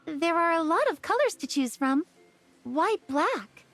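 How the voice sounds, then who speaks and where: High-voiced, cheerful young tribeswoman. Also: big pitch swings, a little slow, a bit bright, exaggerated voice. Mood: curious, amused. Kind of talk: game character dialogue. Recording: music behind the voice.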